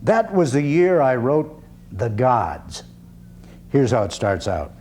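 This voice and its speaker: Guy acting as an old-time freethinker orator, wry and declamatory, voice goes up and down a lot, mid pitch, stage monologue. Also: very animated, a little mellow, slight background noise. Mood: reminiscing, proud. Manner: declamatory, deliberate phrasing with wry irony. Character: 19th-century freethinking orator, proud veteran and father, wry. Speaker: actor performing a one-man play. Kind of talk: dramatic reading, theatrical oratory.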